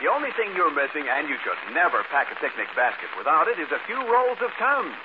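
An actor playing a cheerful radio pitchman, delivering upbeat, emphatic sales patter as a 1940s announcer. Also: big pitch swings, fast. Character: relentlessly cheerful male friendly radio pitchman. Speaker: commercial announcer. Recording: noisy, phone-like 1940s radio recording.

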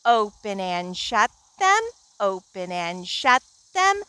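A woman's voice: medium pitch, sing-song, takes it slowly, bright, forceful tone, smooth, dry recording. A middle-aged woman hosting storytime outdoors in a garden, talking to young children in a singsong manner. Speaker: children's librarian, storytime host.

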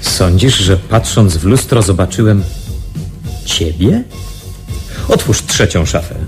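A man's voice: low-pitched, animated, slow, over music, heavily acted. An actor in a radio drama playing an observant, puzzled male intelligence agent, musing and questioning as he speaks. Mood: probing, amused.

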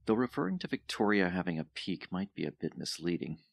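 An actor playing an aloof male professor in an audio drama, speaking in a deliberate, dry, teacherly way. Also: low-pitched, sing-song, creaky, no echo, exaggerated voice. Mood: measured, teacherly. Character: aloof, measured male professor and former researcher.

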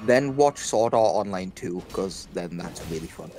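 A man's voice: deep voice, animated, normal speed, over music. A young man with a stuffy nose, chatting casually and enthusiastically with friends while playing a game. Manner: enthusiastic, jumps between topics. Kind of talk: casual chat.

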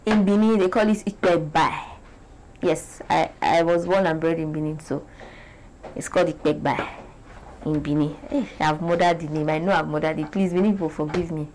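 A young woman from Nigeria with a Nigerian accent, talking to the camera in a casual, animated vlog from a small bright room. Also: low voice, normal speed, a little hiss or hum, rough recording. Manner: animated, chatty, gestures a lot. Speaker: beauty vlogger.

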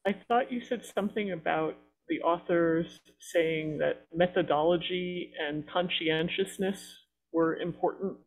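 A man's voice: fairly high pitch, unhurried, rough recording. A man on a video call asking mock-serious questions as a panelist.

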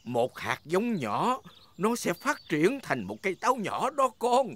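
A man's voice: sits high, huge pitch swings, a little slow, a bit bright, exaggerated voice. A man voicing a jolly, patient old grandpa pig who explains kindly in a dubbed preschool cartoon. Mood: kindly explaining. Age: older character. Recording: music under the voice.

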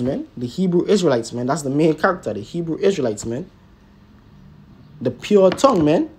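A man's voice: a little high, darkish tone, husky. A man teaching scripture in an emphatic, informal way, peppering his talk with tag words and rhetorical questions. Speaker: religious teacher.

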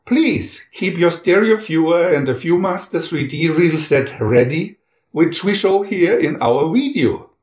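A man presents in a scripted announcer style, speaking very slowly with lively intonation. The recording is echoey and a bit dull. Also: on the high side. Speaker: presenter announcing reel and picture numbers.

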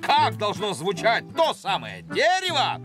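A man's voice: high-pitched, huge pitch swings, measured pace, loud music behind the voice, exaggerated voice. A man voicing a bossy, boastful older bird guide in a children's cartoon, lecturing with great self-assurance.

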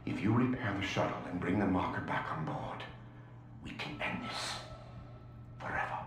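An actor playing an unhinged, grieving scientist in a video game, agitated and pleading, with halting speech. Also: male voice, low-pitched, fairly bright tone. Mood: grave, haunted. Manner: agitated, halting, swinging to murmurs. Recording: echoey, reverberant game dialogue with music.

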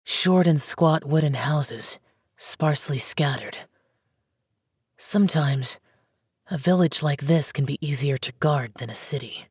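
Game role: aloof young male immortal, low-pitched and husky, terse and solemn. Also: a little slow, darkish tone, dry recording, studio quality, exaggerated voice. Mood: aloof, musing. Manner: terse, reserved, solemn, formal phrasing. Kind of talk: English video game character dialogue.

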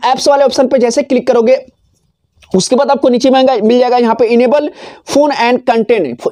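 Tech tutorial presenter: male, 20s, mellow tone, unscripted and repetitive step-by-step instruction. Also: extremely high pitch. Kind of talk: step-by-step phone tutorial, spoken off the cuff. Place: small decorated indoor room. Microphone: clip-on lapel mic.

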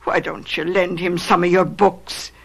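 A woman's voice: lowish voice, level tone, a little slow, gravelly. An actress playing a concerned, loyal housekeeper in a gothic radio drama, earnest as she tells the story. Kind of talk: radio drama narration and acting.

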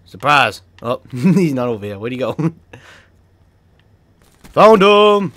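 Excitable Boston-accented man gaming. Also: mid-range voice, slow pace, no echo. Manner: excitable, swears and laughs often, yelps when startled. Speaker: gaming video creator. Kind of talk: live gameplay commentary.

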